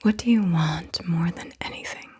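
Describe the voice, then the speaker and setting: Breathy whispering woman, soft ASMR questioning. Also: on the low side, moderate pace. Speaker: ASMR host asking questions. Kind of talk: soft-spoken ASMR, reading scripted questions. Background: computer keyboard typing.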